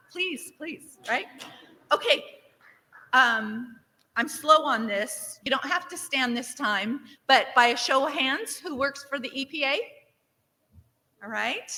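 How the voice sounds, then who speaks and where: A woman director giving lively welcome remarks to a conference audience, asking for shows of hands and cheering the answers. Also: high-pitched. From Nevada. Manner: upbeat, engages the crowd with show-of-hands questions. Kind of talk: interactive opening speech to a conference audience. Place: large conference hall. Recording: reverberant hall.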